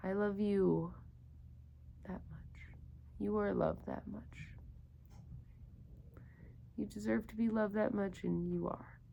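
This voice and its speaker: A woman's voice: medium pitch, creaky, lo-fi sound, a little hiss or hum. A woman reading a picture book aloud to children, full of gushing wonder and talking directly to her young listeners.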